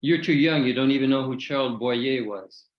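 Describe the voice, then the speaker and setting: A man's voice: medium pitch, moderate pace. An older American man with a slight French tinge to his accent, a guest osteopath chatting hesitantly on a video call. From United States. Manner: hesitant, many fillers. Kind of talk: casual video call chat. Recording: roomy, dull video call audio.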